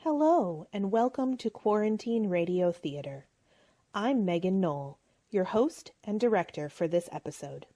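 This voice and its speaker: A woman's voice: medium pitch, lively intonation, a little slow, mellow. A woman host giving a scripted introduction and narration for a radio play.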